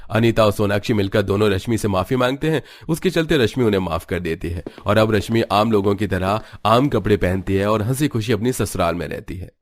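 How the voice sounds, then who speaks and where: A man narrating a scripted animated story. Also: fairly low pitch, a little fast, few pauses, no room sound.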